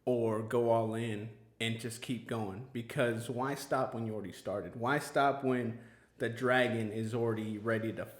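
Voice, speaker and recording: A man's voice: mid-range voice, steady tone, unhurried. Man, podcast host, casual chat, rambling and earnest, roomy echo. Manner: rambling, filler-heavy, earnest.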